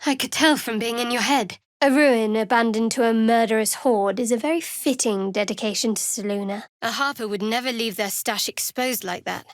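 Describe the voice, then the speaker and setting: An actress playing a guarded, sardonic young female cleric of a dark goddess in a video game, speaking in a dry, measured, wry way. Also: on the high side, no room sound, close to an everyday voice. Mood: cool, terse. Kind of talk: video game character dialogue.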